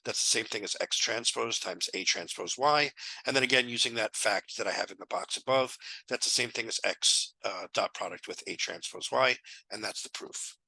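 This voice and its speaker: A man in his thirties with a hoarse voice because he is sick, casually talking through a math lesson with many fillers, recorded on a headset in a small room. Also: on the low side, moderate pace, sharp tone, dry recording, poor audio. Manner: casual, thinking aloud with fillers. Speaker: math tutor. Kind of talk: casual lecture walkthrough.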